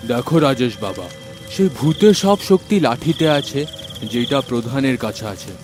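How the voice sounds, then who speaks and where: A man doing a calm cunning old holy man. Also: mid-range voice, moderate pace, over music, natural voice. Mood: knowing. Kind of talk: cartoon dubbing.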